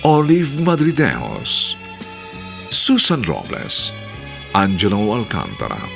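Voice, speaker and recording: Male drama announcer, Filipino accent, low, creaky, formal, measured, scripted, music behind.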